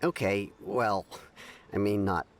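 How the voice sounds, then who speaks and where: A man's voice: fairly low pitch, slow. A man voicing a chatty, easygoing townsman with a Southern American accent in a video game. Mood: frank. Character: chatty easygoing townsman sharing street gossip. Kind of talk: video game dialogue acting.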